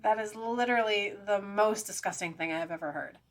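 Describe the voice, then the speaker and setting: A woman playing a sassy, easily exasperated detective's sidekick in an audio drama, teasing and incredulous in a brisk way. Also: mid-range voice, close to an everyday voice.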